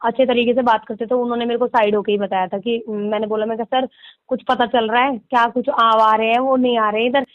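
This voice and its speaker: A woman on a phone call, deferential and polite, filling pauses with murmured agreement. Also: on the high side, little pitch movement, no room sound. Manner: deferential, says "sir" often, constant murmured agreement. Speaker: lawyer acting as go-between. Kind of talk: phone conversation. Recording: tinny phone line.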